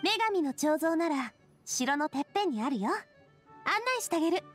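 A woman's voice: sits high, expressive, moderate pace, soft background music. A woman playing a confident, cheerful young leader in an anime game, breezy and self-assured. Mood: matter-of-fact. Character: Navia, confident cheerful young woman, boss made fairytale king. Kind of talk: Japanese anime game voice acting.

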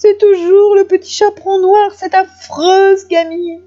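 A woman's voice: very high voice, flat delivery, measured pace, warm tone, highs cut off, pitched above the performer's own voice. A woman voicing a whiny, put-upon male wolf in a children's story, with a plaintive manner. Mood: tearful, aggrieved. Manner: sobbing, plaintive complaints.

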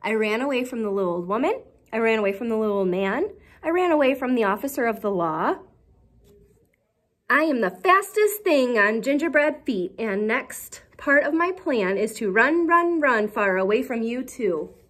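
A woman's voice: fairly high pitch, animated, normal speed, fairly bright tone. A woman voicing a boastful little gingerbread cookie boy in a storybook, chanting his taunts in a sing-song way. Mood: taunting.

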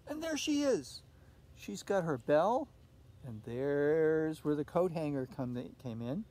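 An older man reading a picture book aloud outdoors in an expressive way, putting questions to young listeners. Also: a little high, slow. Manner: expressive, asks tag questions. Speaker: storybook reader. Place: outdoors in a leafy fenced yard. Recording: outdoor recording with faint ambient noise.

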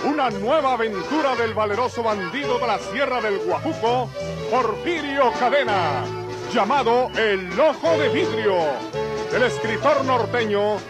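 A man's voice: very high voice, animated, a little slow. A Mexican man announcing an old radio serial in a dramatic, declamatory style. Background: loud dramatic music.

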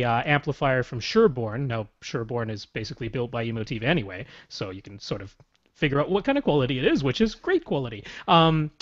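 A young man co-hosting a casual podcast from a small home room, explaining things eagerly and laughing easily, into a studio mic. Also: mid-range voice. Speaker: podcast co-host. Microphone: large studio mic on boom arm. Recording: slightly dull video-call audio.

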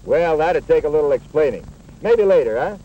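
A man's voice: fairly high pitch, animated, normal speed, lo-fi sound, lightly acted. A man playing a patient, plain-spoken middle-aged school coach in a 1950s educational film, explaining things earnestly. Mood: brisk, friendly. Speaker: coach and narrator. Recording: echoey, noisy 1950s film soundtrack.

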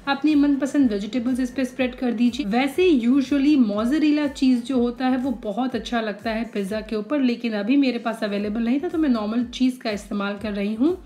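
A young woman talks through a recipe in a calm voice-over, step by step. Her voice sits a little high, and it sounds warm and mellow. Soft music plays faintly underneath. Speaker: cooking channel host.

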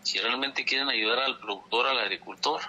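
An indignant man presses his demands over a telephone line, hammering the same points again and again. His voice is husky but strikingly bright and sharp, with a forceful edge. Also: medium pitch, brisk. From Chihuahua, Mexico. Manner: indignant, insistent, repeats for emphasis. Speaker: dairy farmers' leader. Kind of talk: phone interview statement. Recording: phone line.

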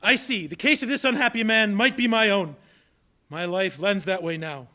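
A man playing Scrooge, old, pleading and high-voiced. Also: normal speed, pushed and bright. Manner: anguished, pleading. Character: Scrooge, old miser now fearful and pleading. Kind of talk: live stage play reading. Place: large hall, live audience. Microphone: far camera.

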